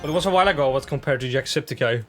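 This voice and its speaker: A man's voice: medium pitch, racing, fairly bright tone. A man with a Swedish accent chatting casually and joking with teammates during a game, on a headset. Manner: playful, joking, upbeat. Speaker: gamer in voice chat. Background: background music.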